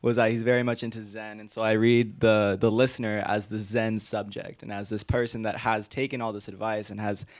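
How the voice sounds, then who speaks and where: A man's voice: fairly low pitch, little pitch movement, fairly quick, few pauses. A man speaking informally as a participant in a discussion, explaining his reading of something. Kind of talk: informal spoken interpretation. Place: live group event.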